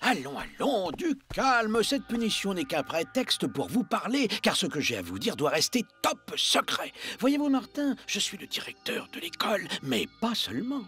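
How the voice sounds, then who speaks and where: Male cartoon principal, pompous, high-pitched, very animated, theatrical. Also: normal speed, hardly any pauses, faint music behind, heavily acted. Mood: confidential, pompous. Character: male school principal, secretly a pompous spy chief. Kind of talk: French children's cartoon voice acting.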